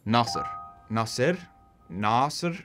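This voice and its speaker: A young man talks through history in a casual, chatty explainer. His voice sits at a middle pitch. He keeps it loose with self-deprecating asides. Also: expressive, slow, fairly bright tone, soft background music. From Egyptian heritage. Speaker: history video creator.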